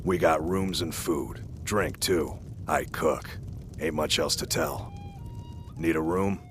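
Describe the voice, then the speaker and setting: A man playing a gruff, terse, indifferent innkeeper and cook in a video game, speaking in flat, clipped phrases. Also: rumbling low, slow pace, slight background noise, heavily acted. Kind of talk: video game character dialogue.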